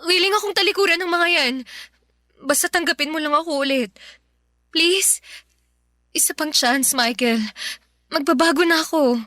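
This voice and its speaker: A clingy young woman in a radio drama, with a high, bright voice. She speaks earnestly and haltingly as she pleads, recorded close and dry over faint music. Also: no echo. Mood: desperate pleading. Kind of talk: Filipino radio drama acting.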